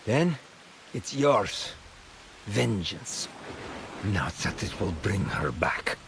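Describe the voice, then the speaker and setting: An arrogant villain, wildly varied pitch. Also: male voice, very animated, drawn-out words, gravelly, a bit bright, a little hiss or hum, full character voice. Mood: weary disbelief. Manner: pompous, taunting, menacing. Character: arrogant, ruthless male slaver and Templar villain. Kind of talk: dramatic video game acting.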